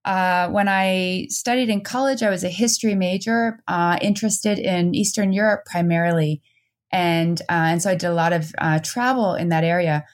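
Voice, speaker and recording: A woman's voice: on the low side, moderate pace. A woman in her forties being interviewed as an author and professor, answering remotely from home with many restarts and fillers. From Netherlands and New Jersey. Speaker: author and anthropology professor, guest.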